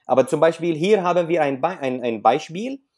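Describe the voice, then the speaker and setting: A man's voice: fairly high pitch, animated, a little fast, darkish tone. A man from Syria, a native Arabic speaker with an Arabic accent, talking as an author in an interview and often searching for words in a language he learned later. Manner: hesitant, searching for words in non-native German, explains with examples. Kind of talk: conversational interview.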